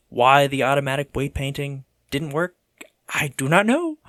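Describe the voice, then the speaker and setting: A man's voice: mid-range voice, a bit bright, no echo, clean studio sound. A man giving a theatrical, tongue-in-cheek voice-over narration with comic asides, as the host of an art video. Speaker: video host, 3D monster artist. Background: background music.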